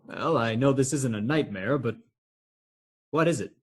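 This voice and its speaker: An actor playing an indignant, sardonic brother in a radio play, scornful and emphatic. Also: male voice, mid-range voice, unhurried, slightly rough. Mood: bewildered. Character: indignant, sardonic brother confronting a hated sibling.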